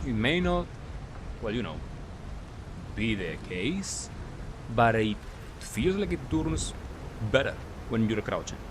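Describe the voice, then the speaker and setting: A man giving casual, explanatory gameplay commentary, halting and correcting himself as he reasons through his points. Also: mid-range voice, background noise. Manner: halting, self-correcting, asks and answers own questions. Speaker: gamer, video commentator.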